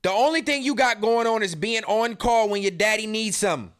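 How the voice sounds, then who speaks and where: A man's voice: sits high, brisk, a bit bright, clean tone. A man in his thirties hosting a podcast, giving heated, profane commentary as he reacts to a stream clip and mocks the people in it. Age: 30s. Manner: profane, mocking, confrontational. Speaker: podcast host.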